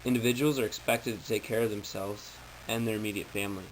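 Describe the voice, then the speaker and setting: A man's voice: lowish voice, steady tone, fast. Man giving a formal presentation, noisy recording. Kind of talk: prepared informational presentation, read out.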